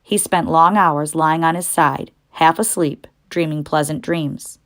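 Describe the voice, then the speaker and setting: A woman's voice: low-pitched, fairly even intonation, a little slow. A woman narrating a children's book aloud as a scripted read-aloud.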